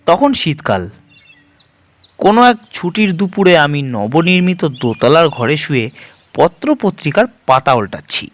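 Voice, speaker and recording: A man narrating a first-person horror story dramatically, in measured, expressive phrases with deliberate pauses. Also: a little high.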